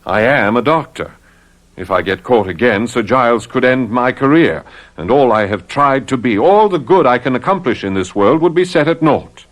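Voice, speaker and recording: An actor in a radio drama playing a cautious, loyal physician, earnest and admonishing. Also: male voice, medium pitch, lively intonation, moderate pace, lightly acted, rough recording. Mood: firm reasoning. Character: cautious, loyal physician, reasoning with his friend.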